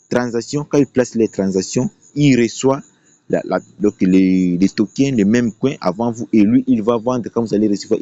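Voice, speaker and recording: A man's voice: on the low side, mellow, no room sound. A man presenting an unscripted screen-share tutorial, explaining emphatically and often repeating his phrases. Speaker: YouTube tutorial presenter promoting a trading platform. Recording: dull, lo-fi voice recording.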